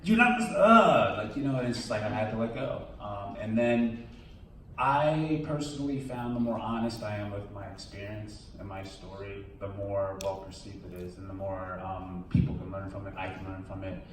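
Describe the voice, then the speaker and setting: A man's voice: lowish voice, normal speed, a bit bright, a little hiss or hum. A man answering questions at a film premiere Q&A, talking candidly and casually and often quoting his own thoughts. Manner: candid, casual, quotes himself. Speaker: documentary subject. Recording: echoey hall, from far off.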